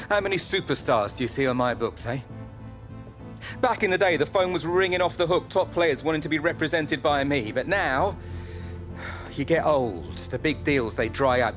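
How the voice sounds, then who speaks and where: A man's voice: mid-range voice, animated, normal speed, lightly acted. A man acting an anxious, apologetic middle-aged football agent in a video game cutscene, pleading and washed-up. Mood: weary regret. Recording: background music.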